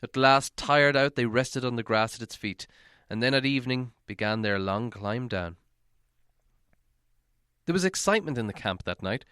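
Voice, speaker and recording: A man's voice: medium pitch, animated, moderate pace, pushed and bright, clear, resonant tone, no echo, studio quality. A man narrating a fantasy novel aloud as an audiobook reader. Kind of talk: storybook narration, read aloud.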